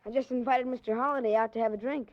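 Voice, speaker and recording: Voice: medium pitch, fairly even intonation, racing, lightly acted. An actor playing an eager, street-smart young boy with slangy, earnest talk in 1940s radio style. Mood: excited. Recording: muffled lo-fi 1940s radio recording.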